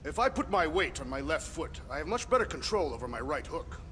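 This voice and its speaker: An actor playing an earnest, literal-minded alien warrior with formal, stilted diction in a video game. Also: male voice, a little high, sing-song, brisk, a bit bright, big-room sound, slight background noise. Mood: keen, intent.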